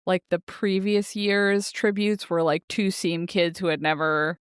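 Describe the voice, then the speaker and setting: A woman's voice: lowish voice, level tone, unhurried, clean tone, dry recording, studio quality. A woman co-hosting a podcast in casual book chat, wry and joking, with frequent laughter. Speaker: podcast co-host.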